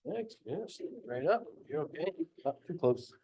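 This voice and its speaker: Male teacher, fairly high voice, unhurried, informal classroom instructions. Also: expressive, warm tone, breathy edge.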